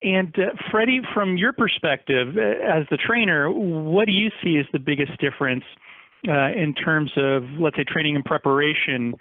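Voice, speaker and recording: A man's voice: on the high side, normal speed, no echo. Male reporter on a dry phone line. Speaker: sports reporter. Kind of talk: asking interview questions on a conference call.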